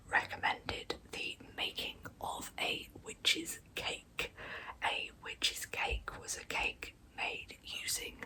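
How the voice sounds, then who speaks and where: A woman tells true crime in a soft, breathy ASMR whisper, close to the microphone. Her delivery is slow and measured, with a bright, sibilant edge. Wry, casual asides break up the scripted narration. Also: rough recording. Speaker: ASMR storyteller and channel host.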